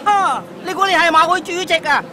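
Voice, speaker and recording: A man's voice: extremely high pitch, animated, a little fast. An actor playing a pushy older male horse-racing fan who mocks and threatens to complain. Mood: rude mocking. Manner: rude, mocking, blustering. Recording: noisy station crowd.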